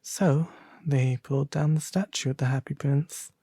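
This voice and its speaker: A man playing a melancholy, genteel owl demon prince, reading a storybook aloud in a soft, refined, breathy ASMR voice. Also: medium pitch, fairly even intonation, normal speed, dry recording, studio quality, close to an everyday voice. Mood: gentle, sombre. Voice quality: breathy, soft, often half-whispered. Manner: refined, gentle, formal diction.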